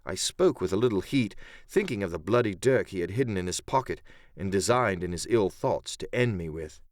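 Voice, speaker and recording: A man's voice: sits low, lively intonation, brisk, no echo. A man giving a dramatic first-person narration of an adventure story as an audiobook narrator.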